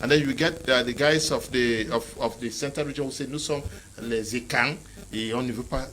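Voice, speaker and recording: A man's voice: mid-range voice, brisk, a bit bright, a little hiss or hum, rough recording. A man hosting a heated panel debate, pressing the guests with probing questions.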